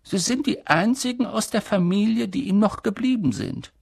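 A reader voicing a calm elderly male headmaster, speaking soft, measured and grave in an audiobook. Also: creaky, breathy edge, no echo, studio quality. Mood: firm. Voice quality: soft, low-key and measured. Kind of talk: audiobook character reading.

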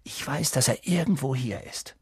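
A man voicing an eager, stubborn young schoolboy in a storybook reading, intense and secretive, often hushed and urgent. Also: medium pitch, moderate pace, husky, no echo, clean studio sound, pitched above the performer's own voice.

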